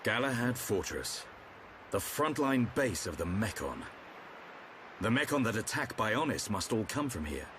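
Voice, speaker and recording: An actor playing a calm, measured, seasoned swordsman in a video game cutscene. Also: lowish voice, background noise.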